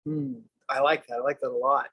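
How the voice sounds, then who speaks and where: A man's voice: mid-range voice, wildly swooping pitch, fairly quick, vocal fry, bright, forceful tone, breathy edge, rough recording. A man hosting a podcast interview, talking eagerly in a casual way with frequent stammering restarts. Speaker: podcast host.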